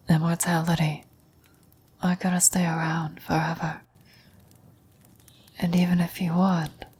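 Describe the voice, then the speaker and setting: A woman playing a frail, dying old woman in a whispered ASMR roleplay, gentle, wistful and philosophical, speaking slowly and haltingly. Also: fairly low pitch, slight background noise. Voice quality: breathy whisper. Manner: slow, halting, reflective delivery with long pauses.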